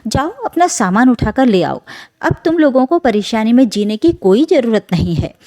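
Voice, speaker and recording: Woman voicing a stern, mellow ageing father. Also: on the high side, big pitch swings, moderate pace. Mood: relenting. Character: stern, wounded ageing father, wealthy businessman, softening.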